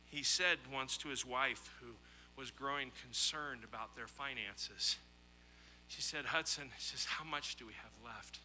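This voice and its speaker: A man's voice: mid-range voice, measured pace, sharp tone, slight background noise, poor audio. A man preaching a sermon in an earnest, emphatic way, as he reads scripture and tells illustrative stories. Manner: earnest, emphatic, with rhetorical questions and repetition. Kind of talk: sermon with scripture readings and anecdotes.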